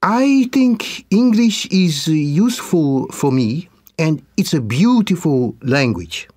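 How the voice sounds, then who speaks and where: A man from Japan playing a student in a speaking test, giving halting, slow answers. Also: fairly high pitch, sing-song, darkish tone, no room sound, clean studio sound. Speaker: exam candidate. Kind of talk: scripted exam answers.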